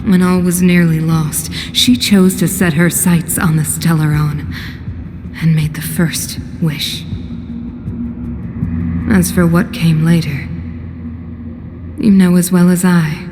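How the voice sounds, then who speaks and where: Slow, solemn ruler in a reverberant hall. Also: female voice, on the low side, steady tone, darkish tone. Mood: grave. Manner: measured, theatrical, grave. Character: solemn, bitterly ironic mother and ruler, coolly patient. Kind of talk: dramatic video game cutscene acting. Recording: long hall reverb, background noise.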